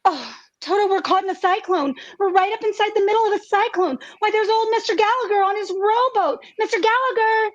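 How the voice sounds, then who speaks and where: A woman playing an anxious, earnest farm girl in a comedic radio play, speaking in breathless, halting, repeated phrases. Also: very high voice, dull audio. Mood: frightened, breathless.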